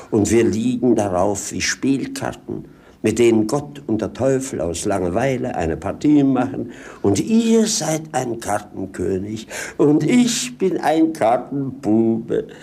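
An earthy, wine-loving servant rogue in a radio play. His voice is gravelly and husky, swooping widely as he relishes florid, mocking phrases at an unhurried pace. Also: lowish voice, dark tone, full character voice. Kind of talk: theatrical German radio play acting.

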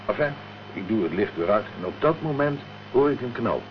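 Man acting an ordinary uneasy janitor in an old radio play, low voice, muffled recording. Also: expressive, a little fast, natural voice. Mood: plain, matter-of-fact. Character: plain-spoken, uneasy male building caretaker, eyewitness. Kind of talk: Dutch radio drama acting. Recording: dull old radio recording, faint noise.

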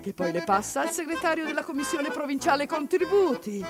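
A woman performing a theatrical monologue, narrating dramatically, moving between hushed and declaimed delivery. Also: high voice, sing-song, fairly quick, music in the background.

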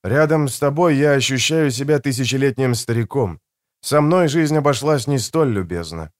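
A weary older man acted by a male reader speaks at a medium pitch, reserved and grave. His words are terse and subdued, weighed down by guilt. The audiobook recording is close and dry. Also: expressive, moderate pace, no echo, close to an everyday voice. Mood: wry, affectionate. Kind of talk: audiobook character dialogue.

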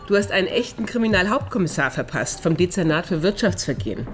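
An actress playing a brisk, teasing woman with a sardonic streak, in a German radio crime drama. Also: fairly low pitch, big pitch swings, slight background noise. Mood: brisk, curious. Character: brisk, cheeky woman doctor, teasing best friend.

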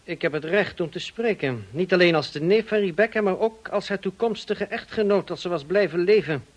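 An actor in a radio play playing a smug, insinuating blackmailer with a mocking, drawling, falsely polite manner. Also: male voice, high-pitched, fairly quick, dull audio. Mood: accusing. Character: smug, insinuating male blackmailer, cousin of the dead woman.

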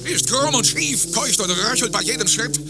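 An actor playing a petulant, lustful sultan who complains pompously in a satirical radio play. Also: male voice, very high-pitched, animated, normal speed, over loud music. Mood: exasperated. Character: petulant lustful sultan scorning an old woman.

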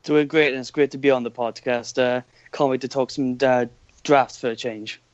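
A man with a Welsh accent, a guest scout on a sports podcast, giving his measured views with hesitant restarts. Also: medium pitch, steady tone, dry recording. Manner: measured, hesitant, starts over. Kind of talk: casual podcast chat. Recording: dry, dull lo-fi sound.

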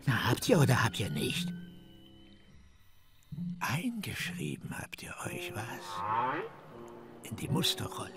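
An actor in a radio drama playing a cryptic, ominous sailor who needles with insistent questions and muttered asides. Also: male voice, medium pitch. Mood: needling, probing. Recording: music under the voice. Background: music.

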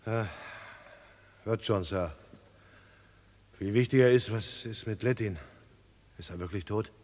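A man's voice: on the low side, measured pace, darkish tone, long reverb tail, natural voice. A male actor in a German crime radio play, playing an anxious, defensive guard who feels cornered. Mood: subdued, uneasy. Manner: agitated, defensive, uneasy.

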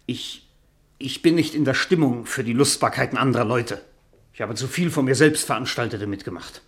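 An actor in a German radio play playing a weary, dignified veteran writer who speaks in a measured, polite way. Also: male voice, medium pitch, close to an everyday voice. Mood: reluctant, protesting. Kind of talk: German radio drama acting.

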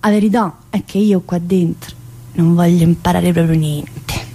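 An actress playing an earthy, wisecracking woman in prison, chatty and crude and swinging from loud outbursts to low muttering. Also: steady tone, measured pace, breathy edge. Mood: bitter. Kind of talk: stage monologue.

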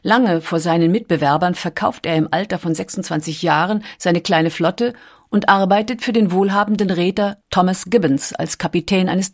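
A woman delivering scripted narration as a documentary narrator. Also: fairly low pitch.

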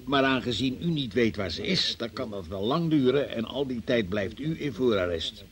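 An impatient, officious investigating magistrate acted by a man in a radio drama. His medium-pitched voice moves widely up and down as he probes, sceptical and stern. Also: lively intonation, normal speed, natural voice. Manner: probing, sceptical, officious, exasperated. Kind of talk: Dutch radio play acting.

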